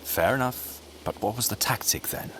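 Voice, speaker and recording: An actor playing a scheming, grandiose conspirator in a scripted audio drama, speaking in silky speeches. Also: male voice, mid-range voice, normal speed, fairly bright tone, slight background noise, close to an everyday voice. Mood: wry, calculating. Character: scheming, theatrical male political conspirator relishing intrigue.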